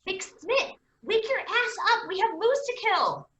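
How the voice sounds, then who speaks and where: A woman's voice: very high voice, sing-song, slow pace, sharp tone, highs cut off, exaggerated voice. A woman acting a bossy, sassy, foul-mouthed heroine in a staged play reading, recorded in an echoing room. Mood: urgent, bossy. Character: brash, foul-mouthed, tough woman hero rallying her partner.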